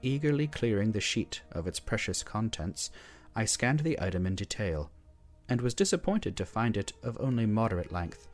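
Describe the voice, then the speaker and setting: A man reading a horror story aloud in a measured, grave manner. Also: fairly low pitch, sing-song, soft background music. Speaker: narrator.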